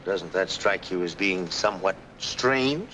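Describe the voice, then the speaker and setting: An actor playing a skeptical, probing investigator in dry, questioning 1940s film noir style. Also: male voice, deep voice, lively intonation, unhurried, bright, forceful tone, heavily acted, rough recording. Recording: old film soundtrack, faint noise.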